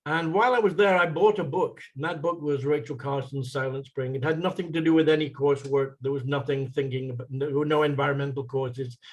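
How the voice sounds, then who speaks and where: An English man in his sixties, his accent now carrying a faint American tinge after decades abroad. He speaks at a measured pace over a video call mic, often pausing on ums and repeating words as he gathers his thoughts. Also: on the high side, steady tone, rough recording. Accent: English with a slight American tinge. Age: 60s. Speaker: guest speaker, sustainability consultant. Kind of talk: online presentation talk.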